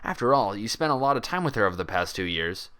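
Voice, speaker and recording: A man's voice: on the low side, sing-song, quick pace, pushed and bright, smooth. Man voicing a chipper, condescending pony doctor. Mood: chatty, placating. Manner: chatty, placating, chuckling. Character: cheerful, condescending male pony doctor, falsely soothing.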